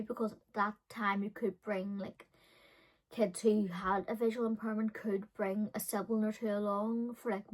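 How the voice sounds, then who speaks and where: A woman in her thirties talks in a casual vlog storytelling style. She rambles, pads her sentences with fillers and doubles back to correct herself. Her pitch sits in an average range for a woman. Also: rough recording. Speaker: beauty and storytime vlogger.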